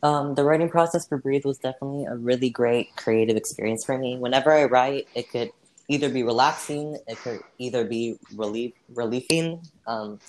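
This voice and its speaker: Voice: mid-range voice, fairly quick, a little mellow, poor audio. Hesitant guest full of fillers. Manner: hesitant, many fillers, laughs off mistakes. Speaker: interview guest playing a singer. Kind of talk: casual role-played interview.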